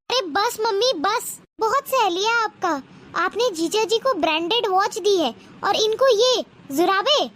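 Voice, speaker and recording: Woman acting an indignant, extremely high-pitched young wife. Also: expressive, measured pace, fairly bright tone, exaggerated voice. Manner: pleading, reproachful. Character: indignant young married daughter defending her husband. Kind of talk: animated moral story dialogue.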